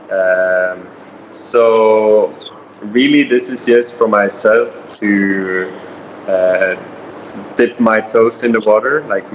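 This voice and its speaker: A man's voice: on the low side, fairly bright tone, muffled recording, a little hiss or hum. A man of twenty-one from Sweden, answering interview questions in a hesitant, thoughtful way. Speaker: interview guest.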